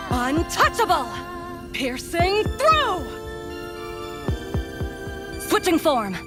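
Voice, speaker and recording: Woman acting devoted cyborg centaur warrior, loud music behind. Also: mid-range voice, natural voice. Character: devoted, steady female cyborg centaur warrior, playfully eager. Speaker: voice actor in a video game dub. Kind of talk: scripted game character voicelines. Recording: studio voice under loud music.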